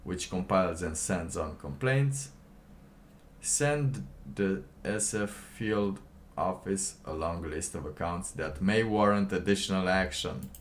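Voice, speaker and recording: A man with a low-pitched voice hosts a casual livestream, reading aloud and adding his own comments. He talks slowly and haltingly, rambling with pauses mid-sentence. Faint noise sits behind the voice. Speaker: livestream host.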